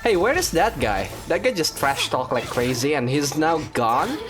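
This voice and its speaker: A woman giving casual, wry gameplay commentary as a gaming video host, in an animated manner. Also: low-pitched, moderate pace, over music. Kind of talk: casual gameplay commentary with dubbed-along dialogue.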